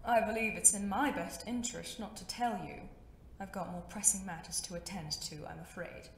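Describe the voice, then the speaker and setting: A woman's voice: mid-range voice, moderate pace, gravelly, a little hiss or hum, natural voice. Suave crime boss female actor for a superhero audio drama; sardonic, coolly threatening, roomy reverberant sound. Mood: mocking menace. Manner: polite, condescending, sardonic.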